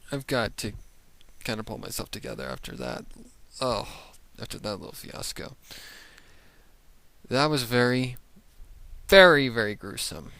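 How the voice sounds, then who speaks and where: A reaction show host giving casual commentary in a halting, exclamatory way, with muttered asides. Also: medium pitch, vocal fry, a bit bright. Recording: faint music behind the voice.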